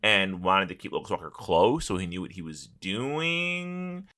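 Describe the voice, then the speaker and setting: A man's voice: sits low, lively intonation, measured pace, bright, forceful tone. A man co-hosting a podcast, chatting casually and slangily about a game story, acting out bits as he goes. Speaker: podcast co-host. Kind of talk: casual chat about a game audio drama.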